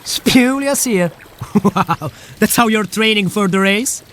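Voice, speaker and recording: A man's voice: sits high, slow, a bit bright, a little hiss or hum. A man playing a boastful teenage bully, pompous and mocking, in a storybook read-along. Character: boastful, sneering teenage Italian bully, smugly theatrical.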